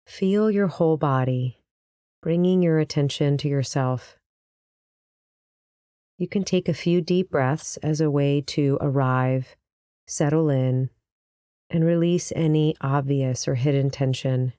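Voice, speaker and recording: A woman leading a guided meditation as a teacher, speaking in a gentle, soothing, unhurried manner. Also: sits low, clear, resonant tone, dry recording, studio quality.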